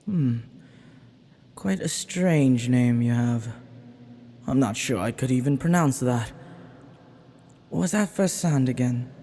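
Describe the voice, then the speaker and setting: Man playing an echoing, slow-talking ancient villain. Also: medium pitch, mellow. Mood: curious, musing. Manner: theatrical, musing, unhurried with dramatic pauses. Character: ancient banished male villain, magical being, flirty, menacing, wistful. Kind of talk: intimate audio roleplay monologue. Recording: long echoing reverb.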